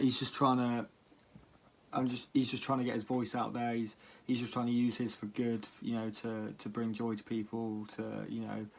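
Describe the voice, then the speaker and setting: A man's voice: medium pitch, slight background noise. A man giving casual spoken commentary as a music reaction vlogger, with a hesitant, rambling delivery.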